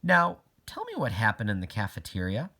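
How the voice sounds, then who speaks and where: A man voicing a firm, patient woman school principal in a children's audiobook, measured and explanatory. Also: lowish voice, huge pitch swings, bright, forceful tone, exaggerated voice. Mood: firm, calm.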